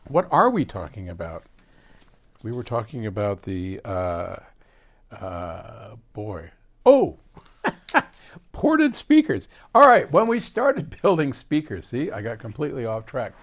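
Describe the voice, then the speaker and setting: A man's voice: medium pitch, takes it slowly. An older American man hosting a casual question-and-answer talk, rambling through explanations and anecdotes with frequent digressions. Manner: animated, digressive storyteller who quotes conversations. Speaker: host answering audience questions.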